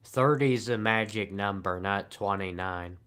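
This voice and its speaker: Male live streamer with a lowish, smooth voice, dry sarcastic monotone, pauses often between lines. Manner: long pauses between remarks. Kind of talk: casual live-stream chat answering comments.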